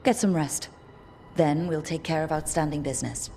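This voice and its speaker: Low-voiced resolute team leader. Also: female voice, moderate pace, warm tone, faint music behind. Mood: determined. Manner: plain-spoken, decisive. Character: resolute young female leader rallying her team. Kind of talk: video game cutscene acting.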